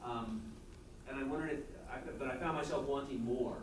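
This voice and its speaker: A man's voice: mid-range voice, fairly even intonation, a little fast. A man in the audience asking a hesitant, rambling question. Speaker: audience member. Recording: very echoey hall, distant mic, noise.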